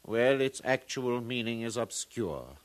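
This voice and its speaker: An actor playing a learned, proud museum curator with formal, professorial speech in a 1950s radio drama. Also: male voice, medium pitch, very animated, unhurried. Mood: scholarly, pleased.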